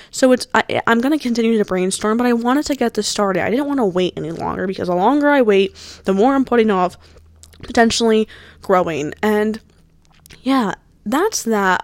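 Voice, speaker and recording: A woman's voice: fairly high pitch, moderate pace, no echo. A woman in her early twenties from New Jersey, hosting her own podcast in a rambling, chatty way full of fillers and restarts. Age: 20s. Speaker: podcast host. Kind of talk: casual solo podcast chat.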